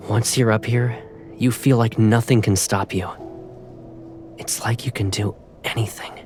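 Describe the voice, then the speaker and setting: A man playing a confident, tender young pilot in a romantic game drama, speaking softly and intimately. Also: fairly low pitch, fairly even intonation, unhurried, faint music behind, close to an everyday voice. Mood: warm, assured.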